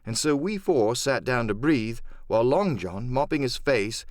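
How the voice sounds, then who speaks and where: A man's voice: mid-range voice, expressive, normal speed, dry recording, studio quality. A man narrating an adventure novel in a dramatic first-person storytelling style. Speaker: audiobook narrator and voice actor.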